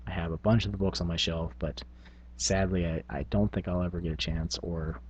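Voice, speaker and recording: A man's voice: low-pitched, fairly even intonation, fairly quick, darkish tone, breathy edge, muffled recording. A middle-aged man talking informally and reflectively as a channel host, hesitating and thinking aloud as he goes. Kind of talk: casual reflective vlog on tabletop gaming.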